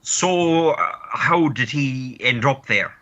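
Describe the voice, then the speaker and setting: A bemused, easygoing Irish man from a comic radio play, played by an actor. His voice is bright and forward, on a slightly muffled recording, as he looks on curiously. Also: medium pitch, expressive, slow pace, full character voice. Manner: bemused running commentary.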